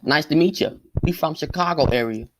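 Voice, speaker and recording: A man's voice: fairly high pitch, a little fast, close to an everyday voice. A teenage boy acting a fawning, dim-witted gangster henchman in a student radio play. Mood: dim, eager. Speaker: student actor. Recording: noisy, clicking stage recording.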